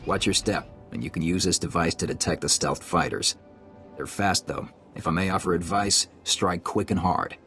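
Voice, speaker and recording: Military tech specialist: deep, grim, terse, sure of himself. Video game cutscene. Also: male voice, steady tone, moderate pace, music in the background. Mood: grim, confident. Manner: terse, blunt.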